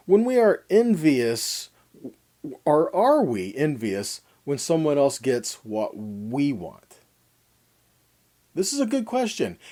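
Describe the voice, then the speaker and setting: A man with a mellow, relaxed tone delivers a teaching sermon. His pitch moves expressively as he stresses key words, reads scripture and puts pointed questions to the listener. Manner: emphatic, dramatic stresses, rhetorical questions to listeners. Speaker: Bible teacher giving a weekly Torah portion lesson.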